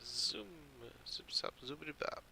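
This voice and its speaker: A man's voice: fairly high pitch, lively intonation, measured pace, creaky, background noise. A man streaming a game with casual, profane commentary, muttering reactions as he plays. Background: game music.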